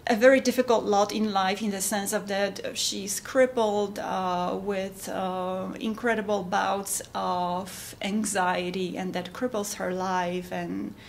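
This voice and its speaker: A middle-aged woman with a Polish accent, talking informally and haltingly about her own work as a composer. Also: mid-range voice, level tone, gravelly, slight background noise. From Poland. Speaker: composer giving updates. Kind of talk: informal monologue to camera.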